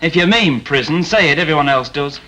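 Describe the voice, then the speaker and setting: A man's voice: fairly high pitch, animated, fairly quick, bright, forceful tone, rough recording. An actor playing a sullen, cheeky, defensive young man with a Northern English accent in a 1960s TV drama. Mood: sullen, frustrated. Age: young adult. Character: sullen, defensive jobless young son just out of prison. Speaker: actor in a TV soap. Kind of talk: 1960s British TV drama acting.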